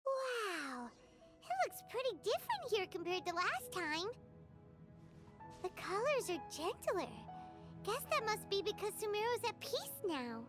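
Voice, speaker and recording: A woman voicing a tiny, childlike, excitable and blunt companion creature in a cartoonish video game style with a squeaky delivery. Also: unhurried, exaggerated voice. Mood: amazed. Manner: squeaky, refers to self in third person. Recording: prominent background music.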